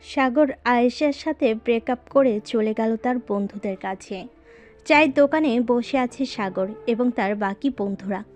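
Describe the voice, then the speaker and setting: A woman's voice: on the high side, unhurried, darkish tone. A woman narrating a scripted story as its narrator. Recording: voice over background music.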